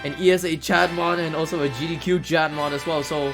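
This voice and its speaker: A man's voice: fairly high pitch, fairly even intonation, brisk. A man giving casual, hesitant live commentary as he speedruns a video game, often repeating words. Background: game music.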